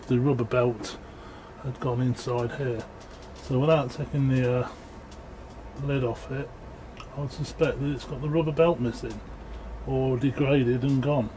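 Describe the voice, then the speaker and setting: A man giving a casual, unscripted equipment demonstration in a matter-of-fact, halting way. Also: mid-range voice, steady tone, mellow. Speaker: presenter demonstrating audio equipment. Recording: clearly noisy amateur room recording.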